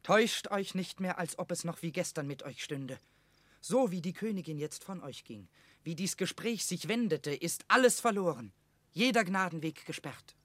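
An actor playing a fervent, reckless young conspirator in a classical radio drama, speaking with urgent intensity. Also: male voice, high-pitched, expressive, moderate pace, fairly bright tone, no room sound.

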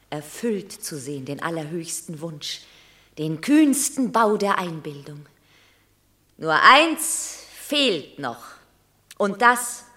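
A woman's voice: mid-range voice, sharp tone, heavily acted. An actress playing a proud, exultant Roman mother of a war hero in a classical radio drama, stately and declamatory. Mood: solemn joy.

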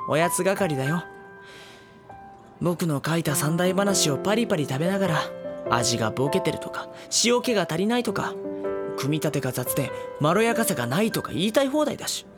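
Male actor as gentle teenage boy, wry and self-deprecating, soft reasoning delivery, anime audio drama, music behind. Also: a little high, lively intonation, moderate pace, close to an everyday voice. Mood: wry, complaining. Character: gentle, exasperated high school boy narrator, self-deprecating. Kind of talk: Japanese anime audio drama acting with narration.

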